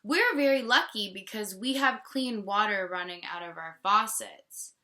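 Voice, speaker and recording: A woman's voice: medium pitch, lively intonation, measured pace, bright, forceful tone. A woman from Canada reading a picture book aloud as a teacher and explaining it simply to children.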